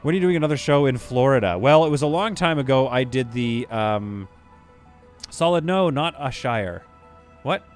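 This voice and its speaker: A man's voice: mid-range voice, faint music behind. A man streaming a game live, chatting animatedly with his viewers and laughing often. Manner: animated, chatty, quick to laugh, reads chat aloud.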